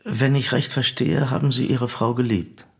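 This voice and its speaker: An actor playing a formal, probing interrogator in a radio drama, questioning in a measured way with a gravelly, husky voice. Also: male voice, medium pitch, steady tone, darkish tone. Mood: clinical. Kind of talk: German radio drama acting.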